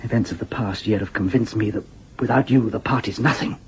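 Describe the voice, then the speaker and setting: An actor playing an earnest, idealistic male party lieutenant in an audio drama, speaking in a measured, grave and deferential way. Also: fairly low pitch, slight background noise, natural voice, low-quality recording. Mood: submissive.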